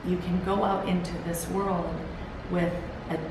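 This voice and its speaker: A woman hosting a solo motivational talk, earnest and encouraging. She sounds gravelly and tired, and rambles with restarts as she talks to a camera set on a timer. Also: on the low side, flat delivery, a little slow. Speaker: host. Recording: very echoey room with background hiss.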